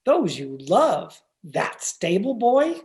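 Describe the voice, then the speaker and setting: Male actor as snobbish young gentleman suitor, genteel and haughty, very lively intonation, old-time radio style. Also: high-pitched, takes it slowly, exaggerated voice. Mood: indignant.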